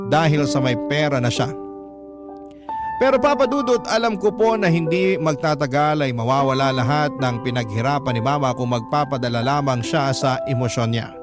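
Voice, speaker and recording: High-voiced male storyteller over loud guitar music. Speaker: radio storyteller. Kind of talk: first-person dramatic story narration of a letter. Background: acoustic guitar music.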